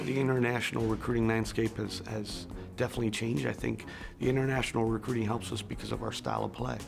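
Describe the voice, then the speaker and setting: A man speaking as a basketball coach in an interview, confident and measured. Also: mid-range voice, little pitch movement, vocal fry, over music.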